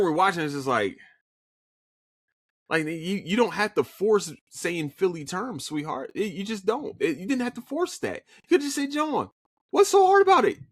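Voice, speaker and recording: A man's voice: high-pitched, no echo, studio quality. A man with a Philadelphia accent hosting a podcast alone, chatting casually about shows he watched, cursing freely and restarting his sentences often. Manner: animated, swears freely, frequent restarts and stammered repeats. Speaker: podcast host. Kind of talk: casual solo review chat.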